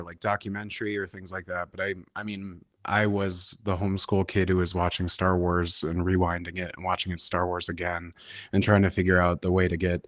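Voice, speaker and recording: A man with a deep voice talks casually as a panelist. He rambles informally, working out his thoughts as he goes, and the sound is very dry. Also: no echo. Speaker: panelist, independent filmmaker and producer.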